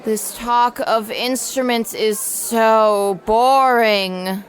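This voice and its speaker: A woman's voice: on the high side, fairly even intonation. A woman playing a cutesy, whining young escort in a dramatised fantasy audiobook. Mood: bored pouting. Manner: whiny, drawn-out, cutesy.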